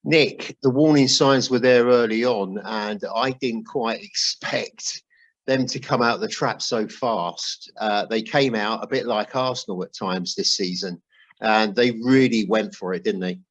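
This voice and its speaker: A man with a fairly low voice hosts a relaxed football podcast. He speaks conversationally and tends to steer his points toward questions for the others. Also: a little slow, lo-fi sound. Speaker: podcast host. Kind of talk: casual football discussion.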